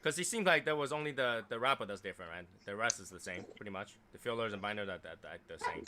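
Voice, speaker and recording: A man's voice: fairly low pitch, lively intonation, fast, sharp tone, slight background noise. A man hosting a casual livestream chat, rambling and teasing his guests with a self-mocking humor. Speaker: livestream host.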